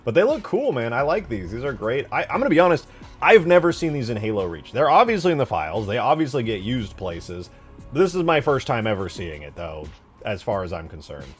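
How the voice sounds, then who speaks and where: Excitable man streaming, wildly swinging pitch. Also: brisk, soft background music. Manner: excitable, informal, slangy, swears freely. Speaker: streamer, host. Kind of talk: casual livestream chat and commentary while modding a game.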